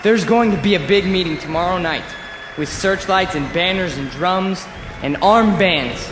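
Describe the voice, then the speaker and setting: A man's voice: high voice, over music, close to an everyday voice. An actor playing an insecure young male demagogue in a radio drama, declamatory and fervent in an echoing space. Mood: rousing, fervent. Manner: slow, declamatory, pleading. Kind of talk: theatrical radio drama oratory.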